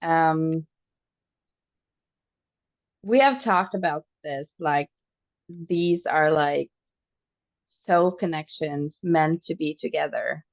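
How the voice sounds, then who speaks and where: Norwegian woman, podcast co-host. Lowish, creaky voice, halting delivery, searches for words. Dull, dry recording. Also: dry recording. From Norway. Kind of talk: casual podcast conversation.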